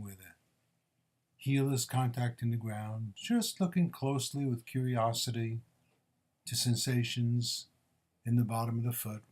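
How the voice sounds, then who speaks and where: A man with a mid-pitched voice guides a body scan meditation. He gives gentle instructions in short, measured phrases. Pauses separate one phrase from the next, in the manner of a mindfulness teacher.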